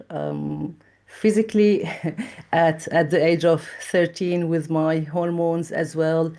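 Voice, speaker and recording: A middle-aged woman from Lebanon, a trauma therapist, speaks in a measured, thoughtful way with frequent pauses as she tells her personal story in an interview. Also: low voice, warm tone.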